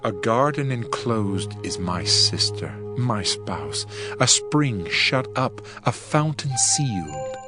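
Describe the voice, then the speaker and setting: A man playing an adoring bridegroom king in a dramatized scripture reading, solemn, tender and deliberate. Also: lowish voice, expressive. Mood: enraptured. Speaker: actor reading dramatized audio Bible. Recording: music bed behind the voice.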